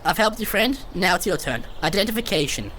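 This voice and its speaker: A woman playing an officious stork border guard in an audio drama, clipped and commanding, quick to get flustered. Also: fairly low pitch, sing-song, fairly bright tone, a little hiss or hum. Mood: stern, officious.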